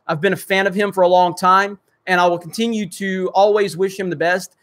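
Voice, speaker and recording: A man's voice: sits high, steady tone, fairly quick, a bit bright. A man hosting a casual livestream, rambling with many fillers as he reads viewer comments and answers them. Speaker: livestream host. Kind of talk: casual livestream chat reading super chats.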